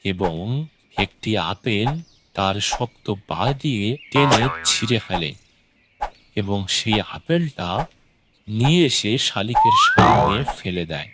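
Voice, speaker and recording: A man's voice: lowish voice, huge pitch swings, slow, heavy background noise. A man narrating a scripted children's story as a storyteller.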